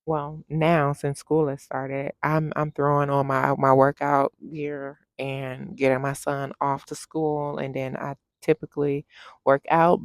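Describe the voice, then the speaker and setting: A woman's voice: low voice, vocal fry, no room sound, clean studio sound. A woman vlogger sharing a personal testimony to camera, speaking in a heartfelt, encouraging, faith-filled way.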